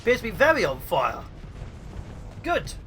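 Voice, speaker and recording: A young man with the flu, sounding sniffly, giving excitable let's play commentary. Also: high-pitched, moderate pace, soft background music. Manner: excitable, mutters at enemy ships. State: sniffly with flu, sore throat. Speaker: gaming YouTuber.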